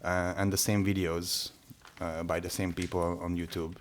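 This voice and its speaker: A man whose first language is Hungarian, talking casually on a podcast with many pauses and restarts. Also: low-pitched, flat delivery, a little hiss or hum. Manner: hesitant, many restarts and fillers. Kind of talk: casual conversation.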